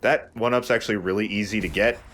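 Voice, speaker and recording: A man's voice: fairly low pitch, steady tone, quick pace, a bit bright. A man hosting a let's play, giving casual, explanatory gameplay commentary.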